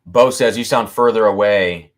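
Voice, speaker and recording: A man hosting a whiskey-tasting livestream, talking loudly and excitedly, laughing often and reading viewer comments aloud into a boom mic. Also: normal speed. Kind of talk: casual live-stream chat. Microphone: boom mic moved back from mouth.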